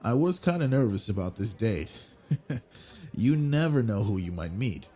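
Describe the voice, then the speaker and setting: A man plays an obsessive yandere charmer who hides something dark under a smooth manner. His voice sits low, mellow and husky, and he takes his time over every word, close on the mic. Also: big pitch swings, normal speed, faint music behind. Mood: flirty. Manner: quiet brooding inner monologue, then smooth flirty charm. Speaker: audio roleplay performer. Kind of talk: scripted audio roleplay.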